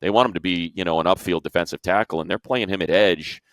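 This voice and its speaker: A man's voice: low-pitched, quick pace, a bit bright, dry recording. A man chatting casually as a sports commentator, informal and conversational, running through his analysis in long stretches. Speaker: sports radio commentator and show panelist.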